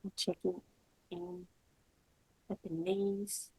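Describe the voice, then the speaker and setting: A woman with a lowish, noticeably husky voice leads a guided meditation as a yoga teacher. She speaks in short, measured phrases and leaves long pauses between them. The delivery is gentle and soothing. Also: level tone, rough recording.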